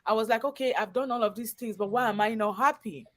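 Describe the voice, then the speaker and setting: A woman's voice: fairly high pitch, fast talker, fairly bright tone. A woman with a Cameroonian accent telling her personal story as a guest in a live interview, earnest and conversational. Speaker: guest, chef and business owner.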